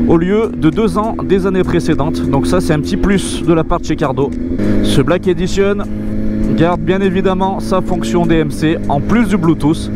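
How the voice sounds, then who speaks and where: A man giving an informal, enthusiastic product review to his viewers as a motorcycle vlogger. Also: fairly high pitch. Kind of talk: casual product review voice-over. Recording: loud music behind the voice. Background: loud music.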